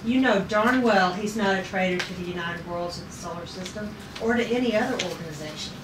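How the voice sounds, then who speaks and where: A woman's voice: fairly low pitch, brisk, pitched below the performer's own voice, lightly acted. A woman reading the part of an earnest, feisty young sci-fi navigator at a table read. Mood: defiant. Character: earnest, feisty twenty-four-year-old female navigator in sci-fi. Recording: noisy echoey room, distant mic.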